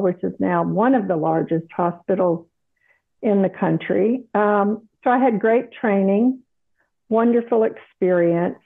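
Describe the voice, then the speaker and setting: An older woman speaks slowly and deliberately, her voice carrying a gravelly edge. She tells her story in a measured, conversational way, breaking into light laughs. The sound comes through a thin, phone-like line. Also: on the low side. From South Carolina, USA. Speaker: guest, retired doctor. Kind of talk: casual interview chat.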